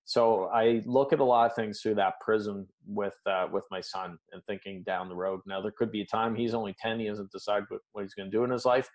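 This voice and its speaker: A middle-aged man with a Canadian Maritime accent talks in a conversational, upbeat way, dropping folksy sayings. His voice sits a little low. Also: fairly even intonation, a little fast, hardly any pauses. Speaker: business coach, guest. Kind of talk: casual interview answers.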